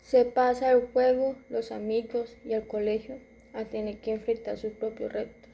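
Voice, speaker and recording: A woman in her twenties reads her own story aloud, stumbling and restarting words now and then. Her voice is fairly high yet very dark in color, with a gravelly rasp at the edges. The delivery stays flat and even, with little rise and fall. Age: 20s. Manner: halting reading with restarts and self-corrections. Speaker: student. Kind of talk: reading a personal narrative aloud.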